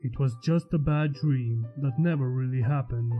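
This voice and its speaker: A man playing a calm, protective skeleton in an acted fan fiction reading, low-key and reassuring. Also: normal speed, lightly acted. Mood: gentle, reassuring. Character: calm, protective, quietly serious male skeleton. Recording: dull lo-fi sound, faint music.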